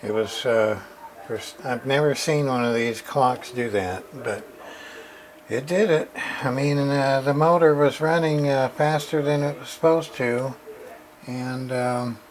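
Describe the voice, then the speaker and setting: A man talks slowly through a hands-on repair demonstration in a mid-pitched voice. He is casual and unscripted, pausing to think aloud. Background noise is audible behind him. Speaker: repair hobbyist showing his work.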